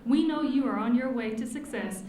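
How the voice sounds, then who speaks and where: A woman delivers a formal, scripted address, her voice sitting a bit high. The sound rings through a large hall with strong echo. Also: moderate pace, mellow. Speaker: city commissioner introducing speaker. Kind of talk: prepared speech. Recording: echoey hall.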